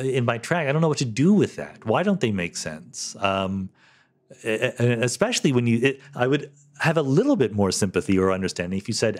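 A middle-aged man hosting a podcast, answering listener questions in a casual, thoughtful way, often correcting himself mid-sentence. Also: medium pitch. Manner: thoughtful, self-correcting, lightly laughing. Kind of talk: casual solo Q&A explanation.

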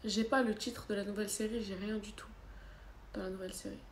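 A woman's voice: medium pitch, fairly even intonation, brisk. A young woman with a cold, chatting casually on a phone livestream from her sofa and answering viewers' comments. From France. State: has a cold. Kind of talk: casual live chat. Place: living room, seated on a sofa, indoors. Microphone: phone camera at arm's length. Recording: echoey room with faint background noise.

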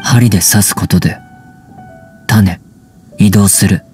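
Wolf-raised young man, anime game, low voice, really slow blunt halting lines. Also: fairly even intonation, mellow, soft background music. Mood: guarded, flat. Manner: halting, blunt short phrases. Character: terse wild young man raised by wolves.

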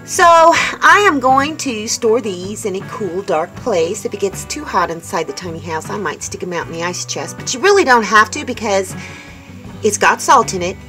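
Upbeat homesteading woman, casual chatty delivery to viewers, average pitch, light music bed. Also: expressive, normal speed, pushed and bright. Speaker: homestead vlogger. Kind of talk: casual cooking demonstration. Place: indoors in a small tiny-house kitchen.

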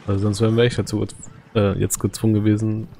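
A man's voice: lowish voice, level tone, fast, vocal fry, warm tone, slight background noise. A man giving casual live commentary as he plays a game, muttering and chatting colloquially. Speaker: game streamer. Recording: game music behind the voice.

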